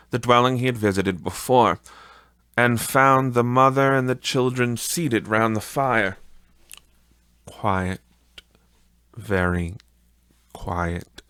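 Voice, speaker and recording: A man's voice: on the low side, slow pace, long pauses, a bit bright, smooth, no echo. A man narrating a classic story as an audiobook reader, in a dramatic literary style.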